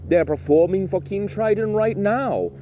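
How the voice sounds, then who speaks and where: A man voicing a haughty, bored male mermaid gate guard in a high sing-song voice, as part of a spoken bedtime story. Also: lively intonation, unhurried, dark tone, a little hiss or hum. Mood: bored, amused.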